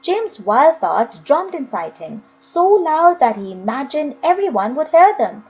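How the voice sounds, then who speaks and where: A woman's voice: sits high, very animated, measured pace, muffled recording. A woman narrating a children's novel expressively, as an audiobook reader.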